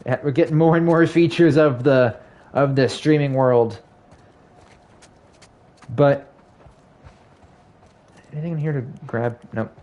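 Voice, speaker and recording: A man's voice: on the high side, takes it slowly, long pauses, dark tone. A young man chatting casually on a live game stream, his voice slightly scratchy. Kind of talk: casual live stream chat over gameplay.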